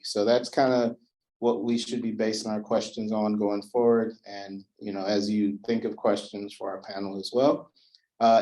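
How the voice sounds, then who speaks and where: A man hosting a community meeting in a meeting room, explaining the ground rules in a relaxed way with many fillers. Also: on the low side, rough recording. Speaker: event host. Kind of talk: community presentation.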